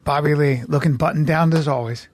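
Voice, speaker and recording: A man's voice: on the high side, fairly even intonation, a little fast, no echo. A man co-hosting a comedy podcast from an office building, ribbing his guests in mock indignation. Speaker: podcast co-host. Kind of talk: casual comedy podcast chat.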